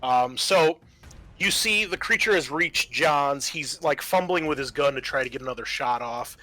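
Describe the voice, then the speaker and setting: A man working as game master, narrating a tabletop horror game in a halting, descriptive way. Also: on the high side, sharp tone.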